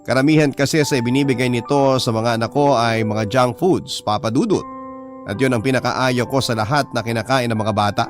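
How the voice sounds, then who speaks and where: Casting: male radio drama narrator, mid-pitched voice, reading a letter with music underneath. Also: fairly quick.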